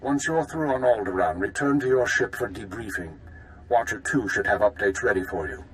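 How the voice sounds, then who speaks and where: A man's voice: lowish voice, lively intonation, normal speed, fairly bright tone, slight background noise, low-quality recording. A man voicing a curt, authoritative intelligence chief in a video game, clipped and businesslike. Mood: stern, commanding. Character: curt, authoritative spymaster man commanding agents.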